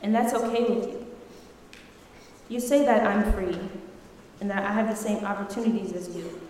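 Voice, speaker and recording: A woman's voice: medium pitch, steady tone, normal speed, darkish tone, a little hiss or hum. Woman, spoken word poet, impassioned, emphatic, rhetorical, strong hall echo. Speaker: student poet. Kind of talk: spoken word poetry recital. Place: large echoey hall. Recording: echoey hall with long reverb.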